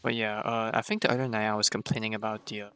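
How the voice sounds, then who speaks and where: A man's voice: lowish voice, level tone, fast, sharp tone, clean tone, no echo. A man giving casual live commentary as a game streamer, rambling and thinking aloud. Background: faint game music.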